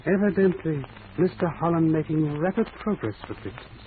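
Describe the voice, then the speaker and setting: An actor playing a wise, polite middle-aged detective, speaking in clipped phrases with dropped articles and formal proverbs, in 1940s radio acting style. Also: male voice, on the high side, animated, normal speed, lightly acted. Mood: calm, formal. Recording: tinny hissy old radio recording.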